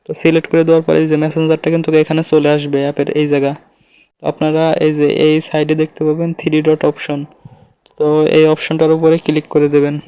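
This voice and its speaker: A man's voice: a little high, clear, resonant tone, dry recording. A man hosts an informal tutorial, narrating step by step and pointing things out as he goes with repeated filler phrases. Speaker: tutorial video host. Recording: slightly dull, lo-fi close recording.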